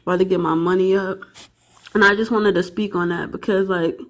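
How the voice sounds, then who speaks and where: A woman's voice: lowish voice, fairly even intonation, brisk. A woman in her twenties talking straight to camera in a casual vlog, blunt and profane, rambling with lots of fillers and emphatic repeats. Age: 20s. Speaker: vlogger and aspiring rapper.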